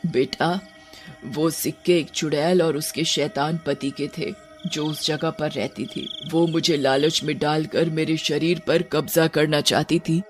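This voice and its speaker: A man voicing a cursed old grandmother witch, menacing yet tender, in an animated horror bedtime story. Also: on the high side, measured pace, soft background music, lightly acted. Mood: regretful.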